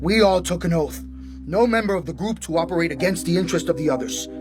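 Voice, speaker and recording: An actor playing a ruthless, mocking American assassin who chairs a criminal cartel in a radio drama, with a husky, commanding delivery. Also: male voice, sits high, animated, fast talker, over music. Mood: cold, businesslike.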